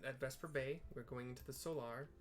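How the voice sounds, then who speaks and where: A man giving casual gameplay commentary, halting as he thinks aloud. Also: mid-range voice, faint music behind. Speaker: video game player commentating.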